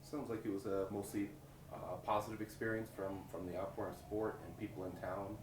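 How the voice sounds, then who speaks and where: A man's voice: on the low side, flat delivery, fast. A man asking informal questions as an interviewer. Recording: distant, echoey mic with clear noise.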